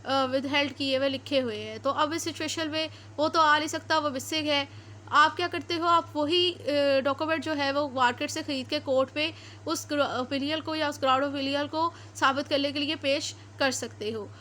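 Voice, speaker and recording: A woman with a Pakistani accent speaks in a very high voice, teaching in a didactic way. She explains step by step and restates each point, moving between her own explanation and read-out English passages. There is faint noise behind her. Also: fairly even intonation, normal speed, sharp tone. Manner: didactic, repeats and rephrases points. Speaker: law lecturer. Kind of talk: explanatory lecture mixing Urdu with read-out English statutes.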